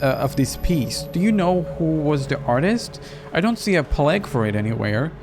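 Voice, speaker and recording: A wary young woman in a horror game, read by a man in a fairly high voice. She tells her story plainly and frankly, with a slightly sardonic edge. Music sits clearly behind the words. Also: lively intonation, moderate pace.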